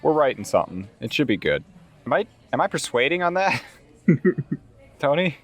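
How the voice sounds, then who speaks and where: A man's voice: medium pitch, very animated, moderate pace, breathy edge, faint music behind. A man playing a tabletop role-playing game, methodically talking through plans in a casual, in-character way. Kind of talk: casual in-game planning and roleplay.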